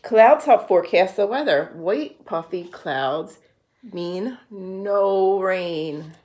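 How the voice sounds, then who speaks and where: A woman reading picture books aloud to a young child as a storyteller, pausing to explain things simply. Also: medium pitch, lively intonation, a little mellow, a little hiss or hum. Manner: simple explanations to a child between read passages.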